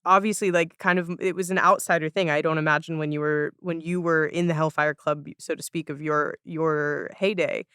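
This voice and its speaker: A woman hosts a casual podcast chat in a bright, smooth voice. She edges into her questions tentatively, restarting as she goes. The recording is tight and dry. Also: low voice, steady tone, moderate pace, few pauses, no echo. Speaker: podcast host.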